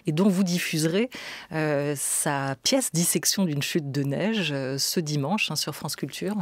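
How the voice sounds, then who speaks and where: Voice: mid-range voice, a little slow, no echo. A radio host conducting a cultural interview at a close microphone. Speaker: radio talk show host.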